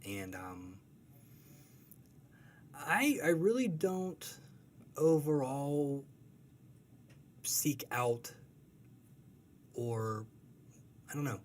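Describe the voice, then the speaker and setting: A man's voice: fairly high pitch, big pitch swings, very slow, long pauses. A man who is a cartoonist, talking casually in an interview, rambling and correcting himself, laughing easily. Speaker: cartoonist guest.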